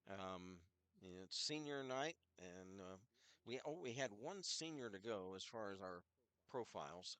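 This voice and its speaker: Older male hockey announcer, head cold, rambling asides, smooth dry voice. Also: fairly low pitch, lively intonation, measured pace, fairly bright tone, dry recording. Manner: rambling, chatty, self-deprecating asides. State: head cold with scratchy throat. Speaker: play-by-play announcer. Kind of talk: casual hockey broadcast commentary.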